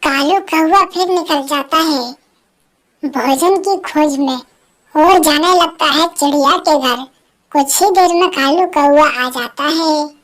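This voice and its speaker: Very high-voiced female children's storyteller. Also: slow, a bit bright. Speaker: story narrator.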